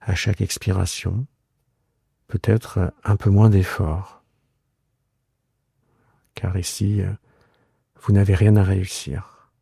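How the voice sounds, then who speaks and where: A man guiding a yoga relaxation meditation in a soothing, unhurried way, leaving long pauses between short phrases. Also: sits low, little pitch movement, gravelly, dark tone, breathy edge, no room sound. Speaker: yoga nidra guide and host. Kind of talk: guided relaxation meditation, improvised.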